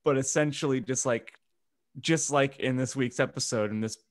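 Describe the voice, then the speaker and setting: A man's voice: medium pitch, moderate pace, no echo. Man bantering, very dry close sound. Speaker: podcast co-host. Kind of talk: casual comedic group chat.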